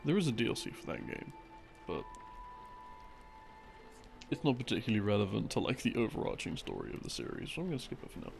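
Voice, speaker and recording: Man, gravelly fry, swooping intonation, halting intimate storytelling, musing, rambling boyfriend roleplay. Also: medium pitch, sing-song. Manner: halting, musing delivery with trailing thoughts. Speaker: audio roleplay performer playing a boyfriend. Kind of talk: rambling, improvised intimate storytelling of game lore. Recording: music behind the voice. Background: slow game theme music.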